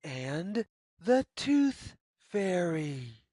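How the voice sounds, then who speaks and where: A woman reading a story aloud for young children, phrasing deliberately and putting questions to the listener. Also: on the low side, sing-song, warm tone, dry recording, clean studio sound. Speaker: children's read-aloud narrator.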